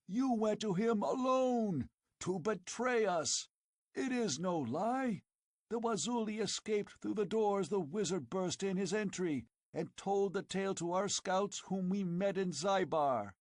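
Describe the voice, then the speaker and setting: Shrieky furious old chief, way up high, loud and frothing. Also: male voice, no room sound, clean studio sound. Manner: shrill, accusing, frenzied shouting.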